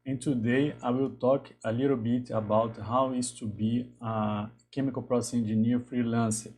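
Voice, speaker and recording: Brazilian man hosting a halting live stream. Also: medium pitch, flat delivery, a little mellow, low-quality recording. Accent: Brazilian Portuguese. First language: Portuguese. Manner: halting, restarts often, greets viewers. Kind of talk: casual live-stream talk.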